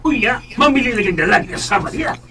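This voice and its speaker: A man's voice: very high voice, expressive, a little fast, music in the background. A man playing a blunt, bossy middle-aged businessman father in a radio drama, with a Cebuano Filipino accent and bits of English. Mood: pressing, dismissive. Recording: noticeable background noise.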